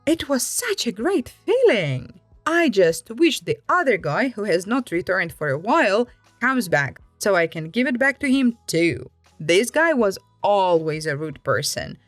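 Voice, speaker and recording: Lively, expressive woman storyteller, close dry sound. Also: medium pitch, measured pace, no echo, soft background music. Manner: expressive, acts out quoted remarks. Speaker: story reader and commentator. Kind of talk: storytelling with reaction commentary.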